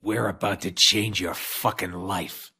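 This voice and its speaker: An actor voicing a manic, foul-mouthed, wisecracking mercenary antihero in a video game, brash and goofy. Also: male voice, sits low, steady tone, a little slow, breathy edge, exaggerated voice. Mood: sardonic. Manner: brash, goofy, swooping from mutters to shrieks. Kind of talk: video game cutscene voice acting. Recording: loud music and effects behind.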